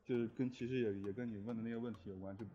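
A man's voice: medium pitch, rapid-fire, a little hiss or hum. A man chatting casually as a guest, complaining playfully as he tells stories. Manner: playfully complaining, animated storyteller. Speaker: guest, music arranger and composer of score. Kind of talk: casual chat. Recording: muffled lo-fi sound, music behind. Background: background music.

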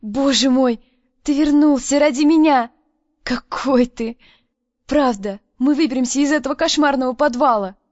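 A brash, impulsive young woman with a quick, sharp tongue, as a male narrator puts her on. Her voice sits high above his usual register. The audiobook recording is dry and a little dull. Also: dry recording. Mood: overjoyed. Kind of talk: audiobook reading.

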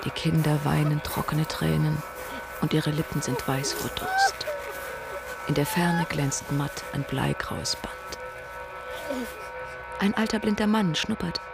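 A solemn biblical storyteller with a fairly high, creaky voice. He narrates in radio-play style over loud music. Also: slow pace, pauses often.

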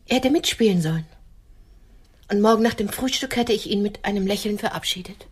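A woman's voice: medium pitch, a little fast, husky, lightly acted. An actress playing a wounded, indignant woman who longs for romance, dramatic and reproachful in a radio play.

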